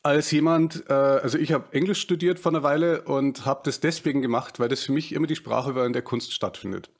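A man's voice: medium pitch, brisk. Hesitant man hosting a podcast. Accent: Bavarian. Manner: hesitant, many fillers and restarts. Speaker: podcast host. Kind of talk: casual interview chat. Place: home room, video call. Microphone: headset.

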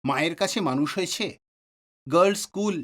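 A man playing a calm psychiatrist and family friend in an audio drama, explaining in a measured way. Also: high voice, lightly acted. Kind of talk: Bengali audio drama acting.

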